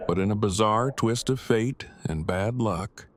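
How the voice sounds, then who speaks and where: A man's voice: fairly low pitch, measured pace, natural voice. A man acting an awkward, earnest, bookish character in an audio drama, speaking in stilted, wordy, formal phrases. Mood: matter-of-fact, formal.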